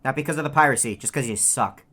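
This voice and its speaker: A low-pitched woman acting as a snarky game bird. The delivery is deadpan and sarcastic, full of mockery. This lazy, greedy heckler taunts like a bored cartoon sidekick. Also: quick pace. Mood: deadpan mocking. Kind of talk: scripted video game character taunts.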